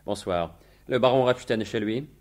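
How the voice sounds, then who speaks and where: An actor playing a confident, wry gentleman thief turned investigator in a French radio mystery in 1950s style, speaking in assured, formal, articulate phrases. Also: medium pitch, normal speed, a little hiss or hum, close to an everyday voice. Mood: composed narration.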